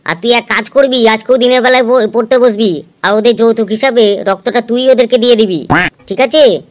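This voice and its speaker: A woman acts out a cartoon schoolteacher with a high voice. The teacher is stern and exasperated, lecturing and scolding the class like lazy pupils. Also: a little fast, lightly acted. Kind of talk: amateur cartoon dub.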